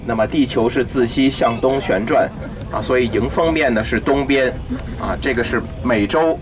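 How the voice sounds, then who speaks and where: A man's voice: mid-range voice, moderate pace. Guy guiding a tour group, explaining stuff, lots of little fillers, vehicle and street noise behind. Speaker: tour guide. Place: in a vehicle moving through city traffic.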